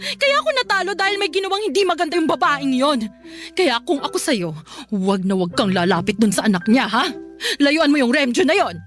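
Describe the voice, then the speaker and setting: A woman's voice: high-pitched, animated, brisk, faint music behind. An actress playing a stubborn, middle-aged mother who scolds and accuses in a radio drama. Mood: scolding. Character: stubborn indignant mother sore about losing election.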